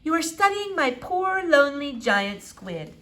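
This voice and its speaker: A woman's voice: high voice, big pitch swings, unhurried, bright, forceful tone, voice pushed up, heavily acted. A woman voicing a bossy, eccentric woman doctor in a children's storybook, with formal, stilted, commanding phrasing. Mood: wistful. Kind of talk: children's novel read-aloud character voice.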